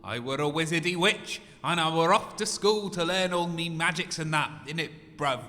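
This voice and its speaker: A man's voice: fairly high pitch, normal speed, pushed and bright. A man voicing the dim, plaintive ghost of a dead girl, telling a mock-tragic tale in an uneducated rustic way. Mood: plaintive, woeful. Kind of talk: comedy audio drama acting. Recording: long hall reverb.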